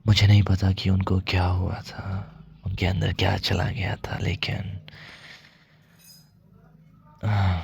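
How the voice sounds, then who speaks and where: Man playing a gravelly, hesitant lovesick young mobster. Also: low-pitched, completely flat delivery, dull audio, exaggerated voice. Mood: tender, confessing. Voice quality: gravelly, husky, breathy. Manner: hesitant, halting, confiding, slipping into whispers. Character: mafia boss's soft, lovesick son, apologetic and hesitant. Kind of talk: intimate ASMR roleplay monologue.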